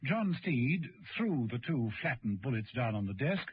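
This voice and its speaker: A man narrating a spy adventure radio drama in a measured, dramatic storytelling style. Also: medium pitch, sing-song, breathy edge, no echo. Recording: dull old radio recording, music.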